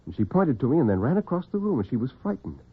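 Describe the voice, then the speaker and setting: An American man telling dramatic tales as host and storyteller in classic radio style, theatrical and expressive. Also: medium pitch, quick pace, slightly rough. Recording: narrow-band vintage radio recording, muffled.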